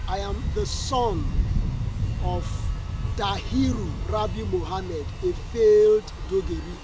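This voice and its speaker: A Nigerian man speaks theatrically as a stage actor introducing a role. His voice is high for a man, and clear rumbling noise runs behind it.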